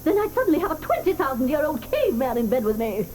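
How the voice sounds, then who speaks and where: A querulous old grandmother, who scolds and fires off insults in a high, swooping, mellow voice. The old audio drama recording carries a faint hiss. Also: very animated, quick pace. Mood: scolding. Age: older. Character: cantankerous old grandmother, sharp-tongued. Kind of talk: 1980s radio drama acting.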